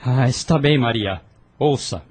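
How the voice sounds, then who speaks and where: A man voicing an earnest young man in hiding, pleading and explaining in an audio drama, with a husky voice. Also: medium pitch, unhurried, a little mellow. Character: young man Vinícius, earnest persecuted son.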